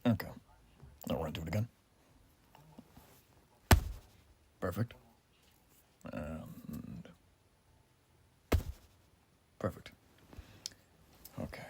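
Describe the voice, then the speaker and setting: Man playing a revolutionary army soldier, very deep, slow, breathy half-whisper, polite old-fashioned wording, earnest patriot, ASMR roleplay. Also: a little mellow, a little hiss or hum, full character voice. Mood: calm, attentive. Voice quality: breathy, often dropping to a whisper. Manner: polite, formal, quaintly old-fashioned phrasing. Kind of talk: soft-spoken ASMR roleplay.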